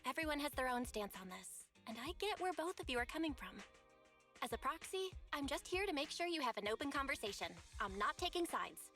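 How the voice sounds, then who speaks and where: A woman's voice: sits high, big pitch swings, a little fast, a bit bright, soft background music, full character voice. An actress playing a friendly, level-headed young woman in a video game, speaking in a frank, reassuring way.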